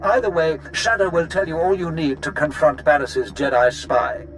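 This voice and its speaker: An actor playing a courtly, scheming older Sith lord in a video game, measured, theatrical and pompous in delivery. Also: male voice, a little high, fairly bright tone, soft background music, lightly acted. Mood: conspiratorial, composed. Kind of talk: video game cutscene acting.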